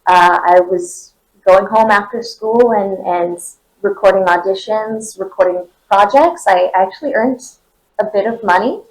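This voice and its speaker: A young woman voice actor with a high, nasal voice, chatting casually in a convention interview with lots of fillers. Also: measured pace, poor audio. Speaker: voice actor guest.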